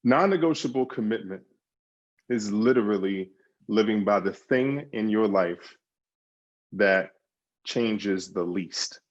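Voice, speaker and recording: A man's voice: lowish voice, expressive, slow pace, long pauses, highs cut off. A man talking as a guest on a podcast, answering in emphatic bursts with repeated words and restarts. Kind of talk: conversational interview answers.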